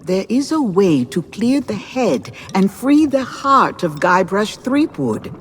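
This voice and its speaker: An actress playing a calm, knowing mystical seer in a video game, speaking in a measured, portentous and formal way. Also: female voice, medium pitch, a little hiss or hum. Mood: solemn reassurance. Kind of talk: video game character acting.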